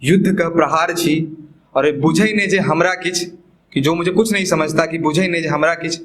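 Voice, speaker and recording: A man's voice: on the high side, normal speed, fairly bright tone. A man from Bihar with a Bihari accent performing spoken-word poetry live, emphatic and repeating lines for effect, with chatty asides. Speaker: poet performing own poems.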